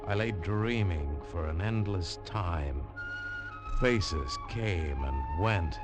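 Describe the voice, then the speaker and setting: Low-voiced radio adventure hero. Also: male voice, expressive, slow, loud music behind the voice. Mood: dazed narration. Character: earnest, hard-boiled male adventurer hero narrating his tale. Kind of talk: 1940s radio drama acting with dramatic narration. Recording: vintage 1940s radio recording.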